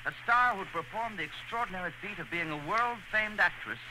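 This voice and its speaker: Earnest male radio narrator, higher voice. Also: brisk. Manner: earnest, theatrical. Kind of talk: dramatic scripted narration, 1940s radio. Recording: noisy old radio broadcast.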